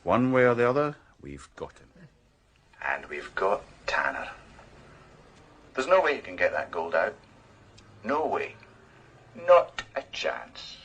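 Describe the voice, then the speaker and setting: A shrewd senior detective in a radio crime drama. His voice is low and husky. He lays things out in a measured, assured way, sure of himself. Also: very animated, fairly bright tone, full character voice. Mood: sly, confident. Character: shrewd, confident male senior detective planning a trap. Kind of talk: naturalistic radio drama dialogue.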